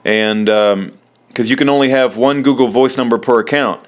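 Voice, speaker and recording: A man talking through an informal how-to, explaining step by step in a halting way and repeating himself. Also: medium pitch. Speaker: presenter of a how-to video. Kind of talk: informal spoken tutorial, step by step.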